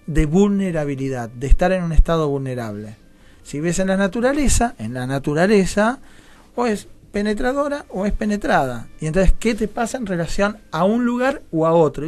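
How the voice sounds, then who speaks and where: Higher-voiced Argentine man reflecting aloud. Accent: Rioplatense Argentine Spanish. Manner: discursive, circling explanations with rhetorical questions. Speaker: guest playwright. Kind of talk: reflective conversational interview.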